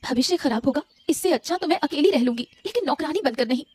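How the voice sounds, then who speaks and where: A woman's voice: sits high, animated, fast, darkish tone. A woman voicing a distressed, defiant young bride-to-be in a cartoon story, protesting emphatically to her father. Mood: hurt, defiant. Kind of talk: Hindi cartoon story dialogue.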